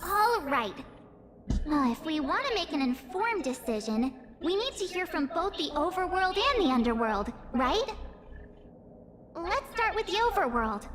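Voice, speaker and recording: A woman's voice: very high-pitched, big pitch swings, unhurried, a bit bright, slight background noise, heavily acted. A woman playing a perky, earnest teenage girl adventurer in a video game. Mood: upbeat, determined.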